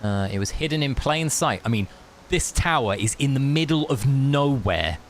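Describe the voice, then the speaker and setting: A man's voice: medium pitch, animated, moderate pace. A man livestreaming a game, chatting casually and reading aloud in a laid-back, matey, jokey way. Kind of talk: casual chat and read-aloud game commentary. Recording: faint hiss.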